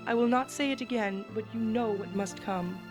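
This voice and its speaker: A solemn pony princess speaks gravely and tearfully, as a woman reads her part, her voice set a little high. Her measured lines sit over soft background music. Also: natural voice. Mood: sorrowful. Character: solemn sorrowful female pony princess ruler. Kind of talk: dramatic reading.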